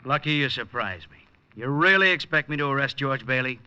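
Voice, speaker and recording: A weary, blunt police lieutenant. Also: male voice, mid-range voice, expressive, fairly quick, bright, forceful tone, faint music behind. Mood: resigned. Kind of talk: 1950s radio acting. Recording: dull old radio broadcast.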